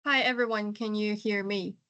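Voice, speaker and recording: A woman of about twenty with a Hong Kong accent, giving prepared advocacy remarks in an earnest, deliberate way on an online panel. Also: medium pitch, fairly even intonation, pushed and bright, highs cut off. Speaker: activist, panel speaker. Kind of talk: prepared webinar testimony and advocacy. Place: online webinar call.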